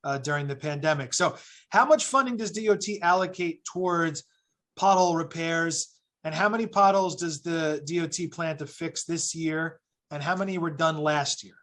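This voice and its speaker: Council member reading questions, slightly high voice. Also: male voice, normal speed, fairly bright tone. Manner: casual, friendly, reads prepared questions.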